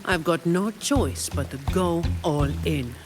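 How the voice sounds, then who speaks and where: A woman's voice: fairly low pitch, lively intonation, slow, over music. A woman playing a composed, dutiful young employee in a video game, businesslike, matter-of-fact and resolute.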